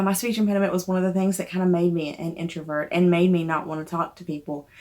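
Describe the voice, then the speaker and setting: A woman in her thirties with a Southern accent and a mild trouble with the letter R, chatting casually to the camera in a rambling, self-deprecating way. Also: on the low side. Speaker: novelist vlogger.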